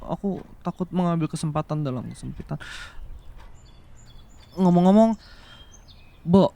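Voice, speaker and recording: A man's voice: on the high side, unhurried, vocal fry, highs cut off. A young man playing a flustered, devoted younger boyfriend in a romantic audio roleplay, hesitant and tender, over music. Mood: flustered, gentle. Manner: hesitant, stammering, soft and tender. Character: flustered, devoted young man in love with his older female boss. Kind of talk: romantic ASMR roleplay acting. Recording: music behind the voice.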